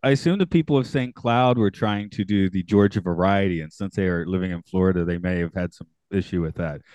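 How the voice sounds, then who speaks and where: A man's voice: low-pitched, brisk, a little mellow, no room sound. A man with a Delaware-Philadelphia accent co-hosting a movie podcast, dry and joking. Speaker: podcast co-host. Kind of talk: casual chat.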